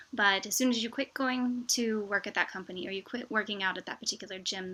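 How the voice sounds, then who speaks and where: A woman with an American accent and a very bright, forward voice at an average pitch. She talks informally to her viewers like a vlogger, explaining her points through everyday examples. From United States (Wichita, Kansas). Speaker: vlogger, expat presenter. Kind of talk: informal explanatory talk to camera.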